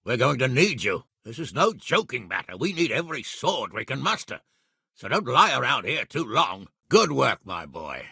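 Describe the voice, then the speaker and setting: A man playing a blunt, hot-tempered middle-aged medieval lord in a video game, with a raspy, gravelly voice and an authoritative manner. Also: medium pitch, sing-song, moderate pace, bright, forceful tone, dry recording, studio quality, heavily acted. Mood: gruffly paternal. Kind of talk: video game character acting.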